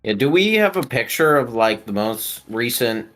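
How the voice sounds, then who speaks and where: A man's voice: mid-range voice, big pitch swings, moderate pace, fairly bright tone. A man chatting casually as a player in a tabletop role-playing game. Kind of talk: casual chat.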